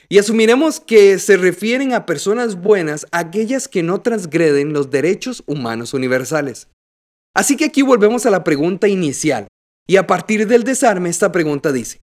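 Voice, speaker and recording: A man's voice: high-pitched, a little mellow. A man with a Costa Rican accent, hosting a podcast in a scripted, explanatory style with a didactic, methodical manner. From Costa Rica. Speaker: podcast host.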